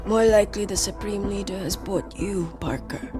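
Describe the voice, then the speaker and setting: An actress playing a weakened, bitter, distrustful captive woman with a breathy, near-whispered voice. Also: mid-range voice, moderate pace, natural voice. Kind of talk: film drama acting. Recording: music behind the dialogue.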